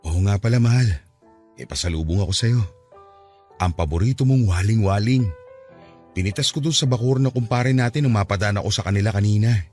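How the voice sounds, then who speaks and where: A man's voice: on the low side, fairly quick, long pauses, close to an everyday voice. A man playing a middle-aged farmer, a strict but doting husband and father, in a radio drama. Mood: sweet, playful. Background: soft music bed.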